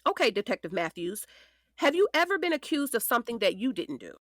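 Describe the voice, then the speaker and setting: An actress playing a determined defense attorney, questioning in a deliberate, emphatic courtroom manner for an audio drama. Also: female voice, fairly high pitch, sing-song, no room sound, clean studio sound. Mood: pointed, insistent. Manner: deliberate, emphatic cross-examining. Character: determined female defense attorney, pointed and composed.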